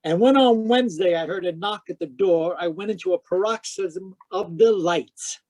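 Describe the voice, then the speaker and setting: A man playing a wisecracking private detective who narrates deadpan in 1940s radio drama style. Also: sits high, moderate pace, dry recording, poor audio. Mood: wry narrating. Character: wry wisecracking male private detective narrating his case. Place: live video-call performance, voice close and dry.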